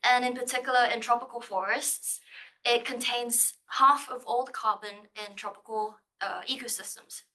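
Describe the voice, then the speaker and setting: A woman's voice: a little high, steady tone, moderate pace, pushed and bright, poor audio. A young woman from Thailand giving a live science-writing presentation, nervous and giggly, with a playful manner. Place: lecture room.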